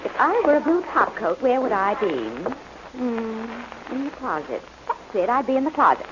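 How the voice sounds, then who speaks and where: Teasing worried american wife, 1940s radio drama, voice swoops around, hissy sound. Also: female voice, a little high, sing-song, normal speed. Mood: playful, affectionate. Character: affectionate, teasing, worrying wife of a bowler. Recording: noisy, muffled 1940s radio recording.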